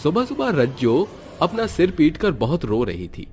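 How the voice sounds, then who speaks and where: A man's voice: a little high, huge pitch swings, moderate pace, a little mellow, slight background noise. A man narrating a scripted dramatic story. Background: background music.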